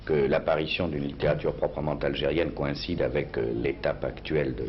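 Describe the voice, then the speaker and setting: Brief: male interviewer with an extremely low voice, formal and measured, on a muffled vintage recording. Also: flat delivery. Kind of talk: archival radio interview. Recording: dull 1950s archive recording, faint hiss.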